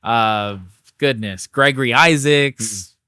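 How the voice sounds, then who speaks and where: A man's voice: medium pitch, takes it slowly, sharp tone, clear, resonant tone, no room sound. Young male guest, easygoing storyteller, dry close mic. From Barbados and San Diego. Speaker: podcast guest. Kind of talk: casual interview chat.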